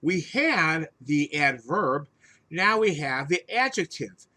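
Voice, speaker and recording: A man giving an instructional vocabulary lesson as a teacher, explaining things in a deliberate, emphatic way. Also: on the high side, very animated, pushed and bright. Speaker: English teacher, host.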